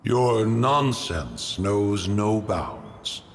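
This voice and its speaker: An actor playing a proud, stern, ancient immortal mountain guardian in a fantasy game, speaking in pompous, archaic, formal language. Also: male voice, on the low side, big pitch swings, extremely slow, heavily acted. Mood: stately, aloof. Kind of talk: theatrical fantasy game voice acting. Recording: long reverberant hall, music behind.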